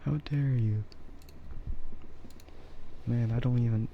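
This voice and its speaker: A soft-spoken man chatting on an ASMR livestream, often slipping into whispers, with keyboard typing and clicking behind him. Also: mid-range voice, fairly even intonation, takes it slowly, dull audio, a little hiss or hum. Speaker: streamer.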